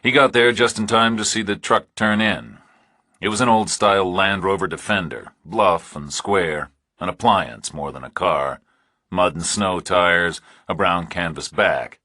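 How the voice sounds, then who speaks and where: Male audiobook narrator for a thriller: very deep voice, close dry sound. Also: measured pace, sharp tone, husky, no room sound.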